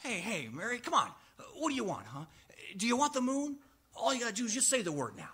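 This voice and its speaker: A man as an excitable, stammering small-town young man. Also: very high-pitched, quick pace, breathy edge, heavily acted. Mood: romantic, rambling. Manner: hesitant, stammering, folksy urgency. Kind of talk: 1940s radio acting.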